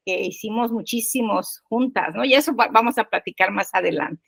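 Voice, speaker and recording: An older woman, telling personal stories casually and haltingly, repeating words and seeking agreement. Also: medium pitch, vocal fry, fairly bright tone, slightly rough, no echo. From Guerrero, Mexico. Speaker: podcast guest, poet.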